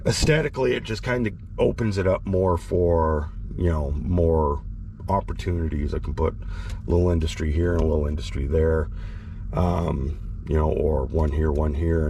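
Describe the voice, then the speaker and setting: A deep-voiced man with a gravelly, fry-heavy tone talks through his project in a loose, rambling way, full of ums and restarts. His voice is slightly crackly as he recovers from being sick, and he is speaking from the cramped sleeper bunk of a semi cab with a faint hiss behind him. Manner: rambling, relaxed, lots of fillers and restarts. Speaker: model railroad vlogger. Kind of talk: casual walkthrough vlog.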